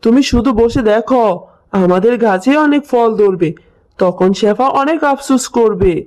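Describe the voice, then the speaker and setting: A woman's voice: on the high side, normal speed, a little mellow, voice pushed down, natural voice, low-quality recording. A woman voicing a smug, dismissive village husband in a cartoon moral story. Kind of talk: animated moral story acting.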